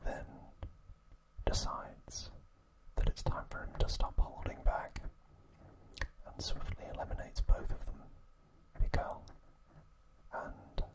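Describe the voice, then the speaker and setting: An ASMR host narrating in a hushed, breathy, unvoiced whisper, slow and unhurried. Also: low-quality recording. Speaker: ASMR host recapping an anime series.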